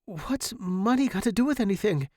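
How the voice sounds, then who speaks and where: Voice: slow pace, darkish tone, dry recording, studio quality. A storyteller voicing a devoted young male music student, earnest, emotional and imploring. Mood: adoring. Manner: earnest, imploring, high and distraught.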